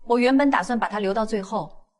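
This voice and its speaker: A woman's voice: fairly high pitch, fast talker, lightly acted. A woman playing a cold, vengeful young criminal in an audio drama, coolly taunting and unrepentant. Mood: cold, scornful. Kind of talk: dramatized audio fiction acting.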